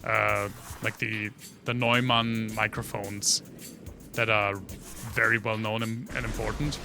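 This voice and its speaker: A German-accented man rambles through trivia for his chat while playing a game, his voice bright and forward through a studio condenser mic. Faint game music plays under his talk. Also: fairly low pitch, level tone, a little slow, creaky. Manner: rambling, full of trivia, talks to chat between gameplay reactions. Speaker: livestreamer. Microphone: studio condenser microphone.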